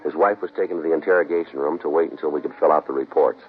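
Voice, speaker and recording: A police detective, very deep, brisk, flat delivery, tinny vintage radio with hiss. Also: male voice. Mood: clipped. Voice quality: terse, flat, matter-of-fact. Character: clipped, terse male police detective. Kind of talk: 1950s radio crime drama narration. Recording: tinny old radio broadcast, faint hiss.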